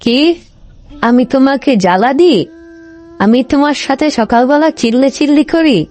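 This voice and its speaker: A woman voicing a nagging, bossy fox wife in a cartoon, insistent and quick to repeat herself. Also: high-pitched, darkish tone, soft background music, natural voice. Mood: scolding, offended. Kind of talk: cartoon story dialogue.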